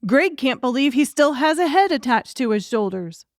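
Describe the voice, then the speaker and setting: Female audiobook narrator, scripted fiction reading, moderately high register. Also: sing-song, moderate pace, dry recording, studio quality.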